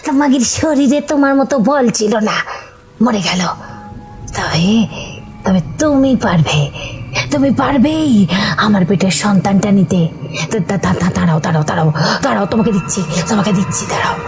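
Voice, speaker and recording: A woman's voice: medium pitch, lively intonation, moderate pace, pauses often, darkish tone, lightly acted. Woman acting an eerie, insistent female ghost over music. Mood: eerie, menacing. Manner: stuttering, urgent repetition of words. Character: sinister pleading female ghost, a dead mother, insistent and eerie. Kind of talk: dramatised horror audio story. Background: music behind the voice.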